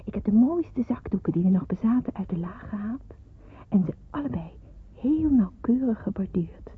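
A devout young daughter in a wartime radio play. Her voice is very husky and breathy, and she speaks with solemn, measured earnestness. The old recording sounds thin and phone-like. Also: mid-range voice, animated. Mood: reflective, earnest. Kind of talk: radio drama narration.

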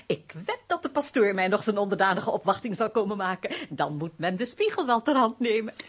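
An actress playing a playful, sociable countess in a period radio play, breezy, gushing and teasing. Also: female voice, medium pitch, animated, fairly quick, slightly rough. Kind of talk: Dutch radio play acting, formal period dialogue.

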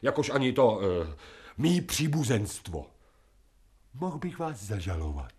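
A man's voice: mid-range voice, sing-song, darkish tone, husky. A crude insolent dog-man. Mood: sulky. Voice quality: coarse, slangy, sulky whining. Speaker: Sharikov. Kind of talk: radio drama acting.

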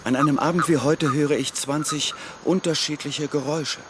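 An actor playing a quiet, dreamy, contemplative man in a literary radio drama, speaking in a measured way. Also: a little high, steady tone, very noisy, lightly acted. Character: quiet, dreamy man slowly listing night sounds and stars.

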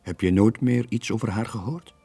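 An actor playing a weary, disillusioned middle-aged former sailor in a radio drama, terse and resigned. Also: male voice, fairly low pitch, moderate pace, gravelly, warm tone, slightly rough, faint music behind, heavily acted. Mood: subdued, weary. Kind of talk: 1980s Flemish radio drama acting.

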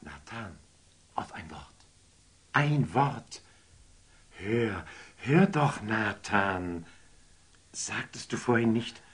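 Husky, animated, coaxing sultan. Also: male voice, lowish voice, extremely slow, pauses often, exaggerated voice. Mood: intrigued, hushed. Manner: theatrical, coaxing, swinging from murmurs to exclamations. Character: warm, impulsive, generous sultan, playful elder brother. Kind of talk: classic German stage-verse radio drama acting.

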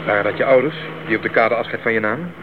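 An actor playing an idealistic, hearty young male missionary in a Dutch radio play, fervent, expressive and good-humoured. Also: lowish voice, racing, lightly acted. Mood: curious. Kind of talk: Dutch radio drama acting. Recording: muffled tinny old radio recording, faint noise.

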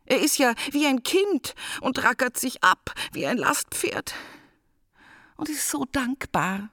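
An actress playing a fussy, self-pitying middle-class housewife who complains indignantly in a German radio play. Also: female voice, high voice, expressive, slow, pushed and bright, no echo, clean studio sound, full character voice. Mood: plaintive, doting.

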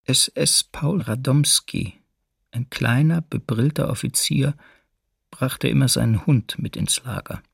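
An actor playing a former camp prisoner, recounting the ordeal in a restrained, deliberate way for a documentary radio drama. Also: male voice, medium pitch, gravelly, breathy edge, no room sound, studio quality. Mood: restrained, pained. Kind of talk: documentary radio drama, first-person testimony.

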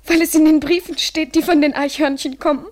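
An actress in a literary German radio play playing a tender, devoted woman in love, fragile and imploring, often breathy and near a whisper. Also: sits high, fairly even intonation, brisk, darkish tone, close to an everyday voice. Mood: hurt, questioning.

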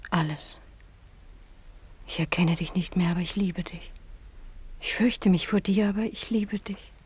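Breathy, anguished emperor's daughter. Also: female voice, on the low side. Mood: fearful, devoted. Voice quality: breathy, often dropping to a whisper. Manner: tender, anguished, halting. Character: devoted, frightened young daughter of a Roman emperor. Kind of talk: theatrical German radio drama acting.

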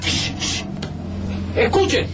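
An actor playing a wary, level-headed survivor in a radio drama, urgent and commanding. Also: male voice, takes it slowly, natural voice. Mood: urgent caution. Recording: distant radio drama under loud music.

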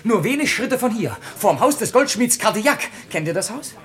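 Brief: zealous patrol lieutenant, high forceful voice, clipped menace, old radio-play style. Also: male voice, sing-song, normal speed. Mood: suspicious, grim. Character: zealous, suspicious police lieutenant leading a night patrol. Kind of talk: 1950s German radio drama acting.

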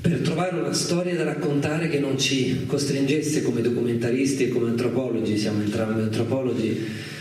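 A man's voice: medium pitch, fairly even intonation, moderate pace, a little mellow. A man giving an informal, unscripted talk as a guest filmmaker, hesitant and reflective as he searches for words. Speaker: guest speaker, documentary filmmaker. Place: echoing indoor live radio event. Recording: echoey room, distant mic, faint noise.

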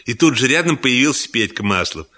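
A man narrating a humorous first-person story in an animated, playful, conversational way. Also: medium pitch, fairly quick.

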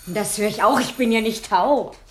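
An actress playing a brisk, put-upon secretary at an employment agency in a 1970s radio play. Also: female voice, medium pitch, slight background noise, close to an everyday voice. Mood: snippy, put-upon. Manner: brisk, businesslike.

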